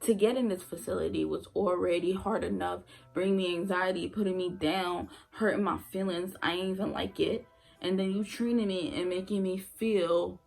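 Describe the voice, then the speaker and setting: A woman's voice: lowish voice, gravelly. A young woman, a former nursing assistant, telling her story in a casual vlog, blunt and emphatic, repeating her points for effect.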